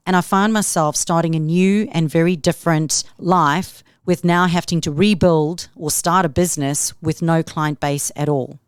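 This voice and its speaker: A woman's voice: low-pitched, clear, resonant tone, no echo, studio quality. A middle-aged woman with a South African accent, hosting a solo podcast in an upbeat, motivational tone. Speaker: podcast host.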